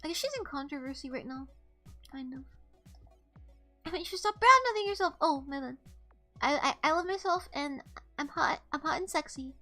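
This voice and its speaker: A young woman's high, bright voice with a light nasal tone, chatting loosely to her stream. She rambles from thought to thought, peppering everything with "like" and "bro" and casual swearing, and faint music plays behind her. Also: animated, normal speed, long pauses. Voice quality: slightly nasal. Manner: rambling, profane, lots of "like" and "bro". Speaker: streamer host. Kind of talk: casual live-stream chat.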